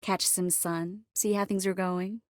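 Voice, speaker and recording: A woman voicing a witty, caring, self-assured young sorceress in a video game, often dropping to soft, intimate murmurs. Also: mid-range voice, steady tone, a little slow, no echo, clean studio sound, close to an everyday voice. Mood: conversational, composed. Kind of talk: scripted game character dialogue. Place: close studio recording.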